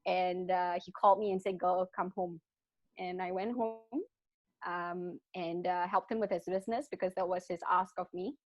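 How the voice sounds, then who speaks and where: A woman's voice: on the low side, moderate pace, dry recording. Woman with mixed British-Malaysian accent. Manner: animated, frequent restarts, laughs between thoughts. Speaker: guest. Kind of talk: casual interview talk. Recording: dull lo-fi dry sound.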